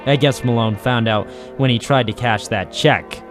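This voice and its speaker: An actor playing a cynical, tough private eye, deadpan and wry, in a noir radio drama. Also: male voice, medium pitch, normal speed, music in the background, lightly acted. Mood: cynical narrating. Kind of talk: 1940s film noir radio detective narration.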